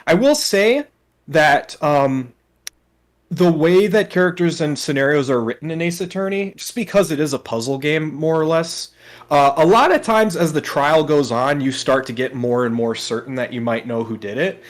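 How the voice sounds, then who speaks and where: A man's voice: on the high side, moderate pace. A man chatting casually as a let's play co-host, explaining things in a hesitant, thoughtful way. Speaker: let's play co-host voicing game characters. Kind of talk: casual gaming chat. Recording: background game music. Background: game music.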